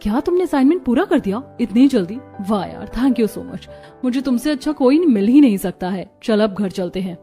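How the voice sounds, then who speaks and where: A woman voices a spoiled young college girl from a wealthy home in a cartoon tale. She sounds breezy and self-assured, pitched fairly high, with music behind her. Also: animated, moderate pace, dark tone, lightly acted. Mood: chatty. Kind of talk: cartoon story dialogue.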